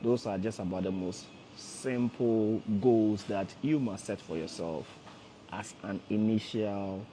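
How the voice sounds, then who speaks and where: A man's voice: lowish voice, measured pace, mellow, a little hiss or hum, rough recording. A man in his thirties, a forex trader hosting a casual livestream, who repeats himself and coaxes viewers directly. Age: 30s. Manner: repetitive, coaxing, addresses viewers directly. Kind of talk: casual motivational livestream talk.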